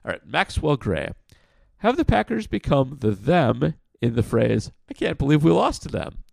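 A man hosting a casual podcast, answering listener questions in a blunt, frank way with lots of fillers; his voice sounds rough like sandpaper. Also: on the high side, big pitch swings, moderate pace, a little mellow, no room sound. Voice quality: gravelly, like sandpaper. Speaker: podcast host.

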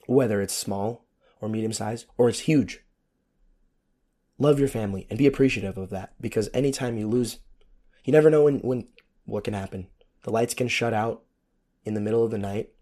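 A hoarse man who is losing his voice, hosting a casual solo podcast with a sarcastic, rambling manner and free swearing. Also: on the low side, moderate pace, pauses often, dark tone. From United States. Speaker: podcast host.

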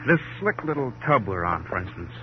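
An actor playing a wry, slangy American police sidekick in an old radio crime drama, with a droll, wordy, mock-formal way of talking. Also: male voice, lowish voice, sing-song, a little fast. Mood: droll, chatty. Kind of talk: 1940s-50s radio crime drama acting. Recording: noisy, dull old radio recording.

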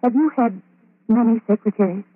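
An actress in an old-time radio horror play, voicing an anxious, determined young woman with a husky edge. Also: on the high side, fairly quick. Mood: accusing, urgent. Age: young adult. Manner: urgent, insistent, pleading, repeating demands. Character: anxious, determined young woman seeking her missing sister. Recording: tinny band-limited vintage radio recording.

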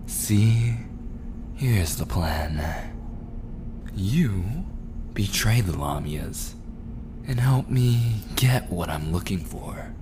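A smug mafia prince, acted out by a man, speaks in a very low voice at a slow, deliberate pace. He is menacing yet coolly charming, sliding into breathy, possessive murmurs as in an immersive roleplay drama. Clear background noise sits behind him. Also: exaggerated voice. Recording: clearly noisy background ambience.

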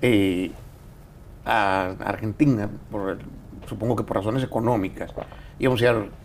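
A Mexican man hosting a casual interview, talking in a relaxed, rambling way with lots of fillers. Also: fairly low pitch, normal speed, slight background noise. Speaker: interview host.

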